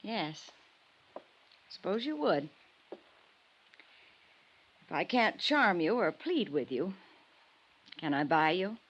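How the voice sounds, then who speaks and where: An actress playing a cool, scheming apartment house manager in 1950s radio drama, languid and coyly evasive. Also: female voice, on the low side, sing-song, highs cut off, exaggerated voice. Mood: coy, resigned. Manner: languid, coy, deliberate. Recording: vintage radio recording.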